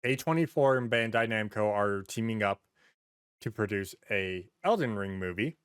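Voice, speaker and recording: A man's voice: on the low side, animated, normal speed, smooth, no room sound, clean studio sound. A man hosting a podcast, chatting casually and swearing freely as he tells anecdotes and acts out little quotes. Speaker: podcast host. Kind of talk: casual podcast chat about movies and games.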